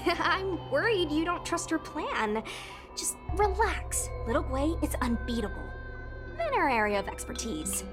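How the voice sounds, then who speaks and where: A woman voicing a loyal, easily scared but well-meaning young girl in a video game, expressive and earnest. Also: sits high, measured pace, music in the background. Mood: reassuring.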